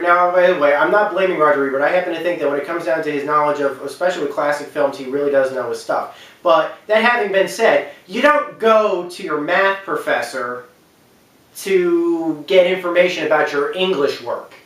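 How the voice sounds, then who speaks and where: In a very echoey room, some distance from the microphone, a man talks off the cuff. He has a slightly high voice and rambles through his opinions, hesitating, doubling back and leaning hard on key points. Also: normal speed, fairly bright tone. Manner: rambling, hesitant, often backtracking. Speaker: host giving video commentary. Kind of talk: unscripted opinion talk.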